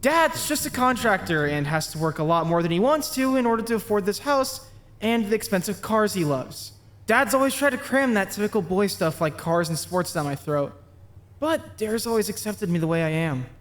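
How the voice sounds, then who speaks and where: Guy doing intense musing teen boy for a comic dub, pretty high voice, lots of reverb. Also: expressive, moderate pace, a bit bright. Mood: musing narration. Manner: earnest, musing, introspective. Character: earnest, intense teenage boy obsessed with fate. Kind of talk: comic dub acting with first-person narration.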